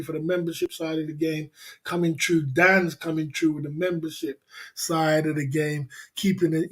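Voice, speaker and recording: A man, casually hosting a livestream, rambling and laughing often as he answers viewers. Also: on the high side. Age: 50s. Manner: rambling, laughs often, repeats filler phrases. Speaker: livestream host. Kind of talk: casual livestream chat reading viewer comments.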